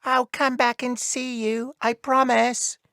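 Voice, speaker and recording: A woman's voice: sits high, flat delivery, slow pace, pushed and bright, no echo, clean studio sound, exaggerated voice. A woman voicing a small, tender-hearted young male elf in a children's storybook, earnest and childlike. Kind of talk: children's storybook read aloud.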